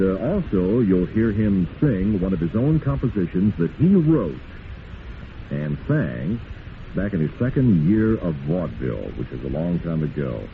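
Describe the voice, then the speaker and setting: Deep-voiced radio host. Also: male voice. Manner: relaxed, many "uh" fillers. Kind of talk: radio show narration and interview. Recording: tinny, noisy old radio tape.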